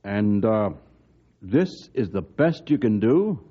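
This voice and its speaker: Stern blustery colonel, animated mid voice, muffled old radio drama. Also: male voice, lowish voice, slow, full character voice. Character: gruff, worried senior forest service colonel. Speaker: commanding officer. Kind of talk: old-time radio adventure acting.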